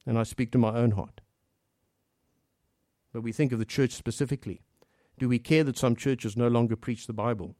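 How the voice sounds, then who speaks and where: A man from Africa preaching a sermon earnestly, posing rhetorical questions and swinging between hushed and emphatic delivery. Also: mid-range voice, fairly even intonation, moderate pace, no room sound, studio quality.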